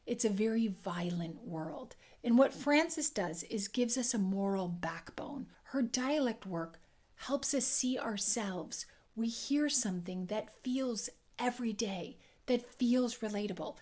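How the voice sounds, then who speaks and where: A woman dialect coach teaching an accent lesson, explaining clearly and demonstrating sounds. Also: fairly high pitch, a little mellow.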